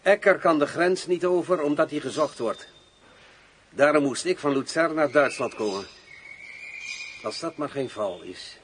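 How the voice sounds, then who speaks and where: Suave general, swooping pitch. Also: male voice, lively intonation, measured pace, pauses often. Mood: suspicious, commanding. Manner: suave, theatrical, insinuating. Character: wary, suave general dealing in arms. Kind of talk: Dutch radio drama acting. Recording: noisy old radio drama recording.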